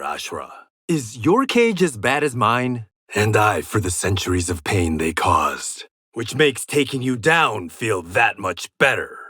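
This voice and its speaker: A stern, proud blind swordsman from a fighting game. His mid-pitched voice is sharp and forceful, swinging widely in pitch. He delivers terse, confident taunts with a wry edge, recorded completely dry. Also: no room sound, full character voice. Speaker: video game fighter character. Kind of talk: dramatic fighting game voice lines.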